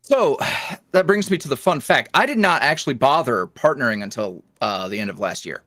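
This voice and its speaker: A man's voice: medium pitch, lively intonation, moderate pace, bright, forceful tone, no echo, clean studio sound. A man speaking as a podcast guest in casual interview chat, with a wry, self-deprecating, rambling manner. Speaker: podcast guest, ASMR roleplay creator.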